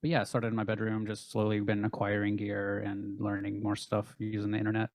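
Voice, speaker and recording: A man's voice: lowish voice, monotone, a little fast, a little mellow, dry recording, studio quality. A man in his late twenties, a musician who records bands, chatting casually in loose, hedging phrases. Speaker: band member and recording engineer, guest. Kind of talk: casual chat.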